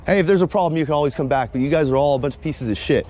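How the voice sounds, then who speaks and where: A man's voice: fairly high pitch, racing, darkish tone. An American man in his forties narrating footage casually, in a confrontational, sarcastic manner with plenty of swearing. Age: 40s. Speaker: video blogger, police auditor.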